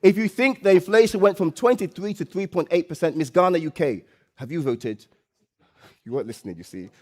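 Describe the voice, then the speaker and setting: A man hosting a live stage event, loud and playful, coaxing the audience and often repeating phrases. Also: sits high, lively intonation, a little fast. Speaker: event host and MC.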